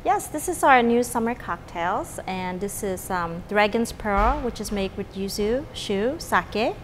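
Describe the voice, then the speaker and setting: A woman's voice: mid-range voice, lively intonation, a little slow, a little hiss or hum. Woman from Vietnam, middle-aged, restaurant owner; casual, rambling with fillers; long reverb tail. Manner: chatty, many fillers and restarts. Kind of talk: casual interview. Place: restaurant dining room.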